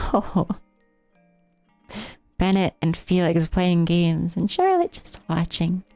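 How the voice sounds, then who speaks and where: An American woman streams a game with casual, musing commentary. Her voice is soft-edged and dark, sitting a little low, with a slight speech impediment and an affected, trained silliness. Faint game music plays behind her. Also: big pitch swings, takes it slowly, no room sound. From United States, Rocky Mountain area. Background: video game music.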